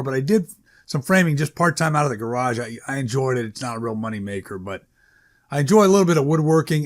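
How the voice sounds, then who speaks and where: Rambling, halting man in casual talk. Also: mid-range voice. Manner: rambling, halting, self-correcting, hedging. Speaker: author introducing own short story. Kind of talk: informal unscripted introduction, casual talk.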